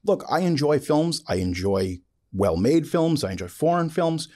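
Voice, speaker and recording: Male podcast host, higher-pitched with a mellow tone, colloquial and emphatic, very lively delivery. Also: moderate pace. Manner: colloquial, emphatic, swears casually. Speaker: podcast host interviewing a guest. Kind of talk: casual chat.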